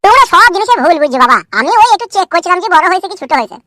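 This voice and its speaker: Very high, whiny cartoon son-in-law. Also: male voice, very animated, rapid-fire, sharp tone, no echo, heavily acted. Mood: defensive. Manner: pleading, self-justifying, foul-mouthed.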